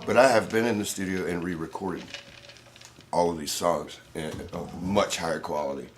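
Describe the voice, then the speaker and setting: Raspy male musician, 40s, laid-back and profane, lowish voice, casual interview. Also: normal speed, music in the background. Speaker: musician guest.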